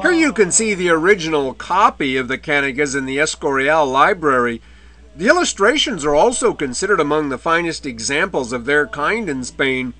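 A man giving an illustrated history lecture in a measured way, with the odd wry aside. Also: on the high side, big pitch swings, sharp tone. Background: faint medieval music.

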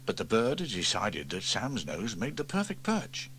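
A man narrating a children's storybook, lively, switching into higher voices for the characters. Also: moderate pace. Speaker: audiobook reader. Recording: faint tape hiss from old cassette.

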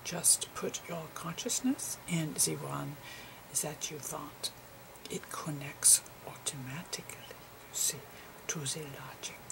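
A woman voicing an eccentric, digressive physicist professor in a whispery, halting ASMR roleplay lecture. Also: sits low, steady tone, heavily acted, rough recording. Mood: musing, pedantic. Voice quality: whispery, breathy. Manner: halting, pedantic, rambling.

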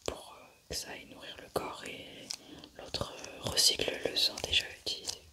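A young adult woman in a hushed, unhurried ASMR roleplay, methodically narrating each step as a scientist. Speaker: ASMR creator playing an alien autopsy scientist.

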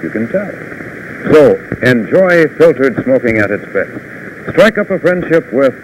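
American guy doing old 1950s tv news and sponsor pitches, lively swings in pitch, bit of hiss. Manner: polished, deliberate announcer delivery. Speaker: television news host. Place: television studio. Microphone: lapel or tie microphone. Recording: noisy vintage 1950s television broadcast.

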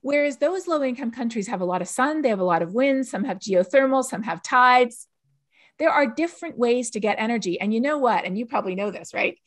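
A middle-aged woman, an author, talking in a lively way on a video call from a home office, asking rhetorical questions as she explains. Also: on the high side, fairly quick, no echo, studio quality. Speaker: author and guest.

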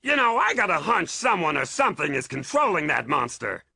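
Gravelly, tough crocodile detective. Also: male voice, a little high, big pitch swings, fairly quick, sharp tone, no echo, heavily acted. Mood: suspicious. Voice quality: gravelly, raspy. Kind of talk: video game cutscene acting.

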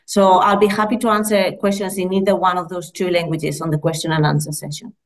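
A woman in her thirties with a Spanish accent, a midwifery lecturer presenting her research over a video call in an earnest, measured way with fillers and self-corrections. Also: lowish voice, little pitch movement. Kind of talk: conference presentation. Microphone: laptop mic on a video call.

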